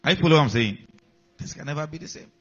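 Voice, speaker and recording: A man's voice: a little high, animated, rapid-fire, fairly bright tone, husky. A man preaching a sermon, repeating key lines and checking in with the congregation. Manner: preaching with repetition and call-outs to the congregation. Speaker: pastor. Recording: dull lo-fi sound.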